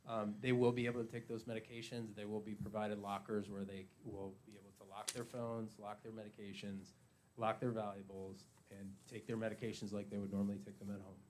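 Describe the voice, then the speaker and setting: A man speaking haltingly, with many fillers, as an addiction treatment provider at a county meeting. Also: fairly low pitch, flat delivery, darkish tone, slight background noise. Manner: halting, filler-heavy explanation. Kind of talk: public meeting testimony.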